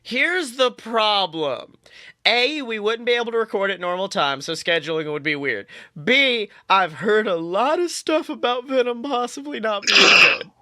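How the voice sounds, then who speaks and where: A man co-hosting a podcast in casual comedic banter, animated and jokey with theatrical emphasis. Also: high voice, moderate pace, no echo, studio quality. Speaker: podcast co-host.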